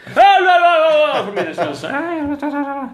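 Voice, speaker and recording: A man hosting a podcast interview, talking loudly and rambling freely. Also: very high-pitched, fairly quick. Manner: loud, lively, rambling. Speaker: podcast host. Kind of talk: casual interview chat. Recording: echoey room.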